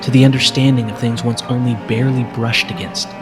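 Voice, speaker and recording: A man reading a fantasy story as scripted dramatic narration, in a solemn, measured storytelling manner. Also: medium pitch, level tone. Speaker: narrator reading fan fiction. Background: music.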